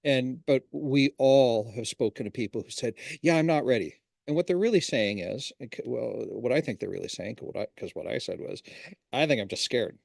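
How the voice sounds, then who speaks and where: A man's voice: medium pitch, lively intonation, brisk, gravelly, a little mellow, no echo, studio quality. A man hosting a casual livestream chat who asks long, rambling questions in a friendly way. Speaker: host.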